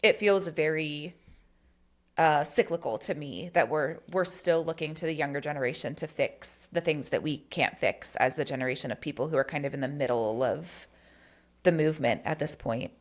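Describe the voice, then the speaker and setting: Woman co-host, deep low voice, candid, earnest political chat. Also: moderate pace. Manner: candid, earnest, swears casually. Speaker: podcast co-host.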